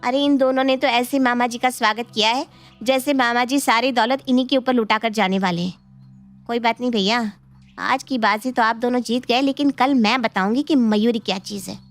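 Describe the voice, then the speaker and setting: A woman voicing a competitive young niece who flatters sweetly and schemes, in an animated moral story. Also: fairly high pitch, normal speed, faint music behind, close to an everyday voice. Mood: scheming. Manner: sugary, ingratiating.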